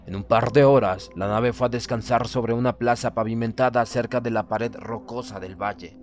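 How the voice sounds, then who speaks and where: A man reads a dramatic literary horror tale as an audiobook narrator. His voice sits at a medium pitch, and his delivery is solemn, measured and formal. Music plays faintly behind him. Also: fairly even intonation, a little mellow. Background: background music.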